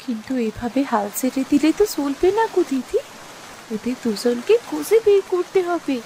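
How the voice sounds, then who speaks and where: A woman's voice: high voice, very animated, moderate pace, mellow, a little hiss or hum, low-quality recording. A woman voicing a worried, urgent young village wife in a cartoon story, pleading and emotional. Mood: anxious, pleading.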